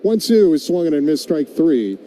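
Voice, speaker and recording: A man calling baseball play-by-play on the radio and chatting in a wry, conversational way between pitches. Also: sing-song, normal speed, warm tone, low-quality recording. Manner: wry, tongue-in-cheek. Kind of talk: live play-by-play mixed with conversational commentary.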